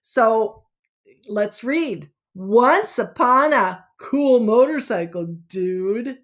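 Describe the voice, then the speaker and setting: A woman speaking as a school librarian, in an expressive read-aloud style for children. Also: a little high, drawn-out words.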